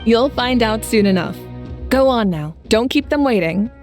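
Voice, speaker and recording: A woman playing a composed, protective leader in a video game, speaking in a measured, formal, reassuring way. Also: fairly high pitch, animated, a little mellow, faint music behind. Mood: gently teasing. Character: composed, assured female leader, protective and encouraging. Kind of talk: video game character dialogue.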